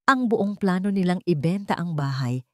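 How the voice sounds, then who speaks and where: A woman reads a dramatic audio story with measured, suspenseful phrasing. Her voice sits a little low and moves widely in pitch. The recording is dry and close. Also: animated, mellow, dry recording. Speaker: story narrator. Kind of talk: dramatic scripted narration of a Tagalog audio drama.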